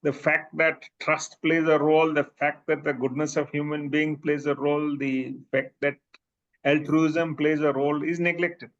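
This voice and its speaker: Presenter: Indian-accented male professor, fairly high voice, reflective with frequent ums, slightly muffled recording. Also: flat delivery, moderate pace, a bit bright. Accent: Indian English. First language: Bhojpuri. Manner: thoughtful, many fillers and restarts. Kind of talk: academic Q&A answer. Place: seminar room.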